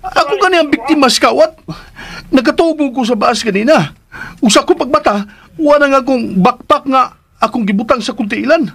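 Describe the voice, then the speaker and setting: A man's voice: very high voice, lively intonation, brisk, vocal fry, fairly bright tone, slightly rough, exaggerated voice. An actor playing a naive, anxious young provincial man in a radio drama, with a Visayan Philippine accent and a plaintive, pleading manner. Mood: desperate, protesting. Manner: plaintive, pleading, talks to himself. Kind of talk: radio drama acting in Cebuano. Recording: radio drama with background noise.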